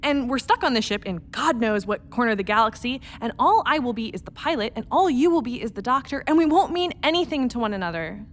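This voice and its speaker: A woman's voice: a little high, animated, fast talker, hardly any pauses, close to an everyday voice. A woman acting in a radio play as a playful, anxious spaceship pilot in love, talking casually and earnestly. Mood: worried, earnest. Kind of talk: naturalistic radio drama dialogue.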